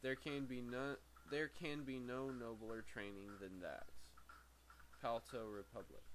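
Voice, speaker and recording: A man's voice: medium pitch, steady tone, rough recording. A man with a mid-range voice reads a book aloud in an amateur way. He halts, stumbles and corrects himself, sometimes breaking off into casual asides. There is faint noise behind him. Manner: halting, stumbles over words and corrects himself. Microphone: very sensitive microphone. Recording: faint background noise, sensitive microphone.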